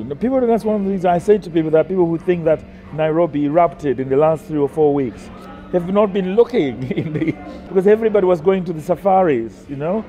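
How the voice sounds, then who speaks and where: A middle-aged man reflecting aloud in a halting way, repeating words as he searches for his thought. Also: sits high. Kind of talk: reflective spoken recollection. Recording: music mixed loudly under the voice. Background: music.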